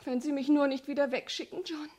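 An actress playing a lovestruck, headstrong young woman in a German radio crime drama, breathy, intimate and emotional. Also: high voice, steady tone, a little fast, close to an everyday voice. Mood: agitated, pleading. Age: young adult. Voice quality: breathy, often near whispering.

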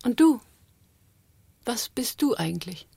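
An actress playing a criminal's sceptical female accomplice in a radio crime drama, husky and measured, with pointed questions. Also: a little high, very animated, warm tone, a little hiss or hum, exaggerated voice. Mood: probing, sceptical. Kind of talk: German radio crime drama acting and narration.

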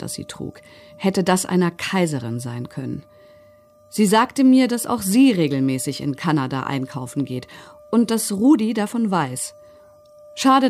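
A woman's voice: lowish voice, a little mellow, heavily acted. A woman playing a commandant's vain, untroubled wife, reading out her letters and requests in a documentary radio drama.

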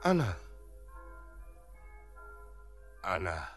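A man's voice: sits low, big pitch swings, moderate pace, soft background music. A man playing an anxious father of a bride in a radio drama. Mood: worried.

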